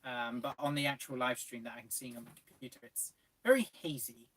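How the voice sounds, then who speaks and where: A man's voice: medium pitch, a little fast, a bit bright, breathy edge, rough recording. A man in his twenties chatting casually on a live stream and answering viewer questions. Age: 20s. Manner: easygoing, reads viewer questions. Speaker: co-host.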